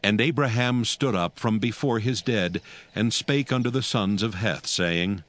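A man narrating scripture in a solemn, measured and formal scripted style. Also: lowish voice, a bit bright.

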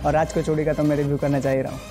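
A young man speaks with a Bihari Hindi lilt, reviewing dishes in a restaurant with easy, eager chatter. His voice sits a little high, and he keeps stringing thoughts together with fillers. Music plays clearly in the background. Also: level tone, rapid-fire, warm tone. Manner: chatty, enthusiastic, lots of fillers. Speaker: food vlogger. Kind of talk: casual food review. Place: restaurant indoors.

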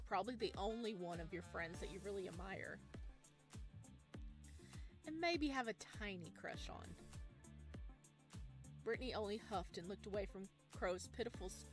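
A woman streaming a game, reading its story text aloud and adding casual commentary. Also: medium pitch. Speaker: streamer playing a visual novel. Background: game music.

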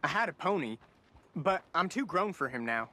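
A man's voice: on the high side, sing-song, moderate pace, sharp tone. An actor playing an eager, polite, nervous young American ranch boy in a western video game. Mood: shy, earnest. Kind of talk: naturalistic video game cutscene acting.

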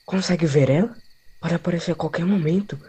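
An actor playing a lovesick, impulsive young boy, hushed and earnest, in an amateur radio soap opera. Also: medium pitch, fairly quick, slightly rough. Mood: dreamy.

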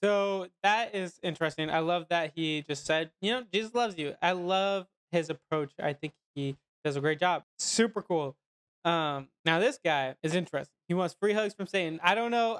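A young man comments casually as a reaction host. His voice sits a little high, and he talks in an informal, sincere way from indoors. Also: clean tone. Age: young adult. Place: indoors at a desk, webcam view.

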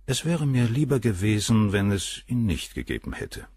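Radio crime drama: a condescending doctor, very low and husky, lively intonation, dry recording. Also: male voice, moderate pace, dry recording. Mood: cold. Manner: dismissive, clinical, condescending. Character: cold cynical male doctor, middle-aged suspect. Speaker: doctor questioned by the inspector. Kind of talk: radio play acting.

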